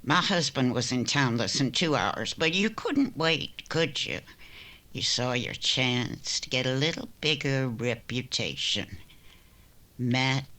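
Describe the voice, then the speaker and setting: A man acts out a bitter frontier widow bent on revenge. The delivery is slow and cold, with a hard, bright edge, in old radio western style. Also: mid-range voice, lively intonation. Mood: bitter, accusing. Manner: cold, accusing, deliberate. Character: bitter, vengeful young widow of a gunfighter. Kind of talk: old-time radio western drama acting.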